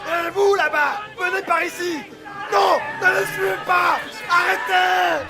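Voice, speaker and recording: A woman's voice: extremely high pitch, fairly even intonation, measured pace. A woman playing an alarmed, commanding ship's captain who gives urgent orders in a dramatic audio fiction. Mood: horrified. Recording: music and crowd clamour behind.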